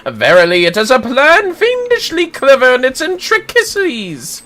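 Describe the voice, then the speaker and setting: Pompous hero detective, high and swooping all over, florid old-timey talk, game dialogue. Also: female voice, huge pitch swings, measured pace, a bit bright, full character voice. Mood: scheming, self-satisfied. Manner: grandiose, archaic, florid speech. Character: pompous, theatrical, chivalrous amateur detective inspector.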